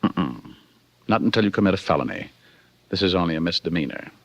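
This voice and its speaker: An actor playing a slick, wisecracking private detective in 1940s radio style, sardonic and flirtatious. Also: male voice, sits low, expressive, fairly quick, no room sound, dull audio. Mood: flirtatious, wry. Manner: sardonic, flirtatious banter and first-person narration. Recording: 1940s radio broadcast with music.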